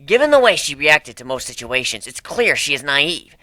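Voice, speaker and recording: A stern griffin lady acted by a man argues like a courtroom lawyer in a storybook reading. The voice is bright and forceful, with big swings in pitch and formal, emphatic phrasing. The sound is close and dry. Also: very animated, normal speed, no echo, heavily acted. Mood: accusing, emphatic. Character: stern forceful female griffin prosecutor-style advocate.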